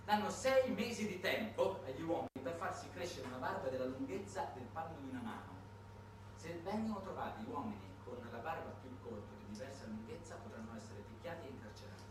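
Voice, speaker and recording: A man narrating a solo stage monologue in a theatrical, measured way, with dramatic pauses. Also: fairly high pitch, expressive. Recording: echoey noisy hall, distant microphone.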